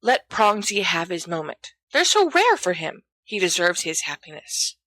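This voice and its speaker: A woman's voice: on the low side, very animated, normal speed, a bit bright, dry recording. A woman voicing a haughty, mocking schoolboy wizard in a storybook reading, with a withering drawl. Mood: teasing.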